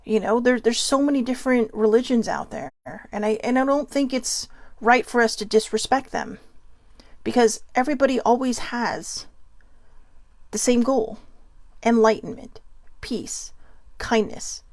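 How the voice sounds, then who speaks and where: A woman's voice: fairly high pitch, fairly even intonation, unhurried, long pauses, a little mellow, no echo, studio quality. A woman from California hosting her own show, talking through her thoughts in an earnest, chatty monologue full of ums and asides. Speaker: podcast host. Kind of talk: casual monologue.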